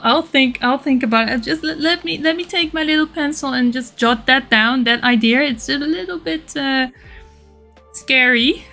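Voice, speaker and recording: Slow-talking Dutch woman chatting on stream. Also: a little high, a bit bright. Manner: chatty, reads chat aloud, frequent laughs and restarts. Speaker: live streamer. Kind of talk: casual livestream chat while working.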